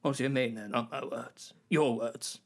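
A man's voice: mid-range voice, fairly even intonation, moderate pace, close to an everyday voice. A man playing an earnest, disbelieving Shakespearean actor in a comedy sketch, hesitant, polite and stammering. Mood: apologetic, puzzled.

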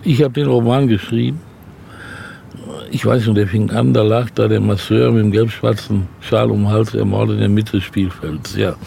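A man's voice: lowish voice, fairly even intonation, moderate pace, gravelly, dark tone, a little hiss or hum. An older man, a novelist, chatting in an interview and rambling through anecdotes. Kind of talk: casual interview chat.

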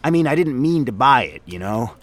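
A man's voice: mid-range voice, sing-song, brisk, voice pushed up, lightly acted. A man doing a rambling, oblivious coworker. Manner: rambling, chuckling, talks over others. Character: chatty oblivious male office coworker.

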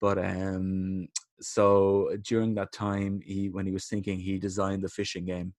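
A man's voice: low voice, flat delivery, unhurried, a little mellow, dry recording, clean studio sound. Playful man hosting a casual quiz. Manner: playful and teasing, laughing often. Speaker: quiz host. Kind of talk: casual chat.

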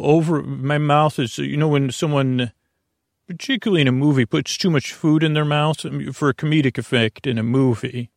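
An American man hosting a sleep podcast in a creaky voice, rambling soothingly through tangents and stumbling over his words. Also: medium pitch, no room sound, studio quality. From Long Island, US. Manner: meandering, tangential, stumbling and mashing words together; lulling, soothing delivery. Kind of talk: rambling bedtime monologue.

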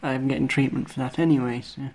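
A man's voice: mid-range voice, fairly even intonation, fast, a crowd in the background, low-quality recording. Young guy, nasal voice, chatty and self-deprecating, laughs a lot. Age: young adult. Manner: self-deprecating, chuckling often. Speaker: podcast co-host. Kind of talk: casual chat.

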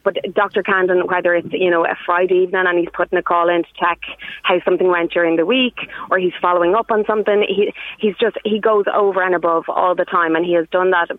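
A woman's voice: lowish voice, steady tone, fast talker, no echo. An effusive, earnest woman calling in to a radio show on a tinny phone line. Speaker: caller, patient. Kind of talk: casual radio phone-in testimonial.

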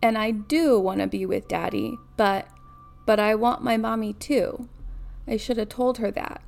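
A woman voicing a tearful little granddaughter in a novel, halting and pleading in a childlike way. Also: medium pitch, fairly even intonation, gravelly, a little mellow. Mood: sobbing, pleading.

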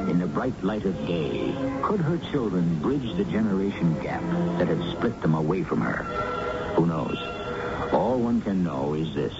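A man hosting a 1970s radio drama, narrating in a grave, deliberate, theatrical way with rhetorical flourish. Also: sits low. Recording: muffled 1970s radio broadcast, loud music.

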